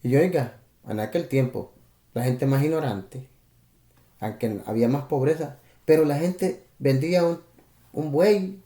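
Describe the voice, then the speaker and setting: A man's voice: mid-range voice, a little slow, mellow, echoey room. A man from El Salvador with a Salvadoran Spanish accent, a pastor preaching in a conversational way on a live stream, with rhetorical questions and asides to his listeners.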